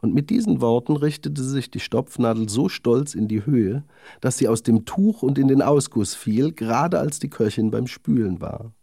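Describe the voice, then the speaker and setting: A man tells a children's fairy tale as its narrator. His voice is mellow with a gravelly creak, and his melody is animated. The recording is close and dry. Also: medium pitch, moderate pace, no room sound. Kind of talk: scripted storytelling for children.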